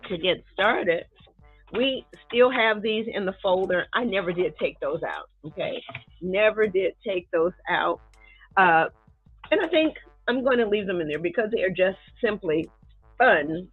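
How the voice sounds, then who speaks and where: A middle-aged woman with a drawl and a nasal, stuffy voice talks loosely to her live-stream viewers, calling them "y'all" and laughing between remarks. Her voice has a breathy, husky edge. It comes through a thin, phone-like stream that sounds dry and close. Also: medium pitch, dry recording. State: congested. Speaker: art teacher live-streaming a painting lesson. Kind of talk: casual live-stream chat while setting up. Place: home art studio. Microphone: desk microphone near the table. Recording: tinny, narrow-band live-stream audio.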